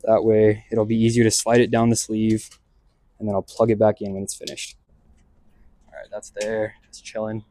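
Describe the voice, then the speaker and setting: Male DIY vlogger, lowish voice, close dry sound, easygoing slangy talk while working on a truck. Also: completely flat delivery, moderate pace, mellow, no echo. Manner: laid-back, slangy, thinking aloud. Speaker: vlog host doing a DIY car install. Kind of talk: casual narration while working on a vehicle. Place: in and around a pickup truck.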